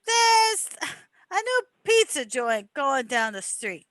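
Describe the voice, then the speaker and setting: A chatty, deferential mob henchman played by a woman in a radio comedy play. The voice is high and bright with very lively pitch, rambling and placating, in a dry-sounding recording. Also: takes it slowly, no echo, heavily acted. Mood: hesitant. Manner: rambling, hesitant, placating wiseguy patter. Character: talkative, deferential male mobster sidekick. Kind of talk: amateur old-time radio comedy play.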